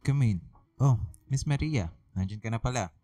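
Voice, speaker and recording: A man playing a concerned, composed high school teacher in a Filipino radio drama. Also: normal speed, mellow, dry recording, studio quality, lightly acted.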